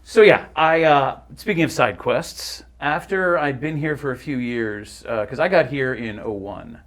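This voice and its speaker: A man's voice: mid-range voice, unhurried. A middle-aged man, a voice actor, telling stories in a casual, articulate, emphatic conversational way. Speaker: voice actor guest.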